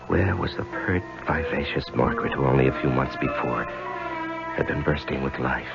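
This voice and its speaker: An actor playing a weary, guilt-torn husband in a 1970s radio drama, brooding and murmuring. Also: male voice, a bass voice, creaky, heavily acted. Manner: brooding first-person narration and drowsy murmurs. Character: weary, guilt-torn husband nursing his dying wife. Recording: dull old radio recording, loud music.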